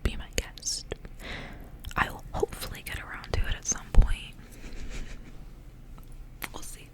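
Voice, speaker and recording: A young woman whispers softly in an ASMR style. Her delivery is breathy and almost entirely unvoiced, kept hushed throughout. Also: measured pace. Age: young adult. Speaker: ASMR creator. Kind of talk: whispered ASMR, casual rambling and story reading.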